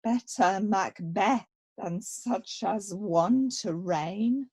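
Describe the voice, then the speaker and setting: Radio Shakespeare: young exiled prince female actor, medium pitch, unhurried and weighty, grave and self-accusing. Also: a little mellow, husky. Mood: self-accusing, intense. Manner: deliberate, dramatic verse delivery with weighty pauses. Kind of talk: radio play Shakespeare acting.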